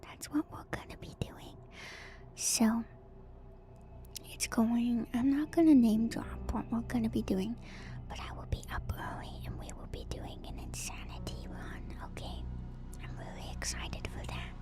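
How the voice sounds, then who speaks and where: Female ASMR streamer, slow whispery delivery, gain-boosted close mic, soft and quiet host chat over music. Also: fairly high pitch. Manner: soft, hushed, switching between whisper and quiet speech. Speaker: livestream host. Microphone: very close microphone with gain turned up, mouth noises.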